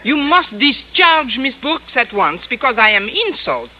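A woman's voice: high-pitched, very animated, a little slow, exaggerated voice. A woman playing a blunt, insulting French madame in 1950s radio comedy, with a French accent and broken English. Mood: blithely rude. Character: haughty, insulting French madame in comic disguise. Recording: dull noisy old radio recording.

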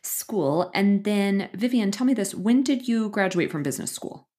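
A woman's voice: medium pitch, lively intonation, moderate pace, mellow, slightly rough. A young adult woman hosting a podcast interview, reading an introduction and reacting with upbeat, effusive enthusiasm. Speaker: podcast host.

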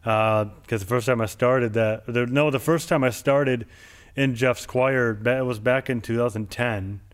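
A man's voice: medium pitch, steady tone, unhurried, clean tone. A man hosting an interview show, talking casually and reminiscing in long, rambling sentences full of "like". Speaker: show host.

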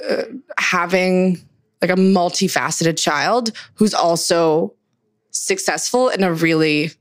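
A young woman chats freely as an interview guest, her speech full of easy fillers. Her voice sits a little low for a woman. The sound is close and dry, with no room around it. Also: takes it slowly, dry recording. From Canada. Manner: chatty, many fillers like "like" and "um". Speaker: guest, writer. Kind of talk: casual interview conversation.

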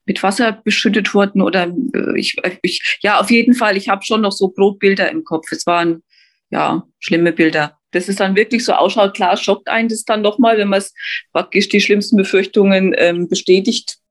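A woman recalling things in a spontaneous, reflective interview, halting as she thinks aloud. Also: mid-range voice, steady tone. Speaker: eyewitness interviewee.

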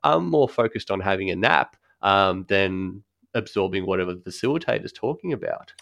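A man talks through accessible design at an easy podcast pace, pausing on ums and restarting his thoughts. His voice sits fairly low, and the recording is dry and close with little room sound. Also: expressive, gravelly, a bit bright, no room sound. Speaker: guest expert on accessible design. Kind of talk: conversational podcast explanation.

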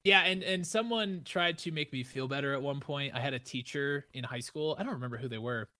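A man from the Midwest hosting a casual podcast, with a nasal voice, rambling and excitable, rising high when he gets worked up. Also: a little fast. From American Midwest. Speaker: podcast host.

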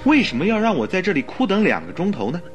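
An actor playing an exasperated but loyal doctor in a radio drama, with a protesting, questioning manner. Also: male voice, sits high, wildly swooping pitch, fast, faint music behind. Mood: puzzled, protesting. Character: exasperated, loyal male doctor, the detective's friend.

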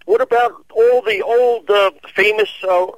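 A man with an American accent calling in to a radio show, halting but insistent in his casual remarks. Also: high-pitched, steady tone, highs cut off. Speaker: radio call-in listener.

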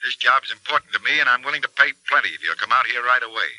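An actor playing an urgent, secretive wealthy client, insistent and brisk, in 1940s radio drama style. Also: male voice, medium pitch, lively intonation, pushed and bright, dry recording, low-quality recording.

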